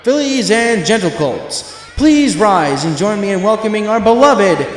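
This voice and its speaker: Man putting on a booming, high-pitched stadium announcer. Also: lively intonation, roomy sound, big-room sound, a little hiss or hum, poor audio. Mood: booming, excited. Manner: grand, drawn-out showman delivery. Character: booming, excitable stadium announcer at a flying contest. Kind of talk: storybook event announcing.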